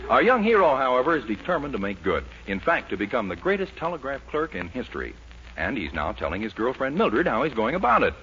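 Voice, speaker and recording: Swooping radio announcer. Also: male voice, medium pitch, big pitch swings, quick pace, fairly bright tone. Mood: mock-solemn. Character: mock-solemn radio sitcom narrator. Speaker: announcer, narrator. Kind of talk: 1940s radio scripted narration. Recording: dull old radio recording, faint noise.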